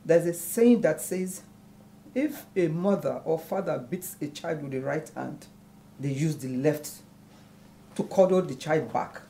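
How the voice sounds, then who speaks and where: A woman's voice: low-pitched, expressive, measured pace, warm tone, slight background noise. An actress playing a wounded, proud middle-aged mother in a film melodrama, speaking emphatically. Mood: pleading, respectful. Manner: emphatic, declamatory. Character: wounded, proud elder mother scolding her son. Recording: music under the dialogue.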